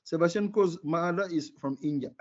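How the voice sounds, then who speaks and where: An older man from Senegal, a native Wolof speaker with a Senegalese accent, giving emphatic, opinionated interview answers in a husky voice and repeating words for stress. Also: a little high, normal speed. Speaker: interview guest, former sports marketing executive. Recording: dull, lo-fi call audio.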